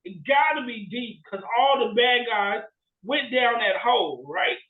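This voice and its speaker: A man with a high voice talks casually as a podcast co-host. He tells stories in an animated way, often seeking agreement. The recording is echoey and somewhat muffled, with limited bandwidth. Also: unhurried. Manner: animated storytelling with frequent "right?" check-ins. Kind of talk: casual movie chat, retelling plots.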